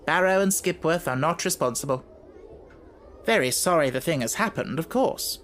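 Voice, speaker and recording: A man's voice: a little high, normal speed, fairly bright tone, close to an everyday voice. Guy doing a cold dry businessman, doesn't care at all, very matter of fact, audiobook, bit of noise. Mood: uninterested. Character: cool, shrewd, unsympathetic businessman, dry and unruffled.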